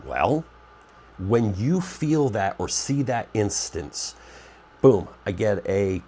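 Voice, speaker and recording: A man with a very deep voice, nasal and stuffed up from a cold, talks through his preparedness channel in a loose, rambling way. He keeps stopping to correct himself. A faint hiss sits under the recording. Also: sing-song, takes it slowly, mellow. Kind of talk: casual talk to camera.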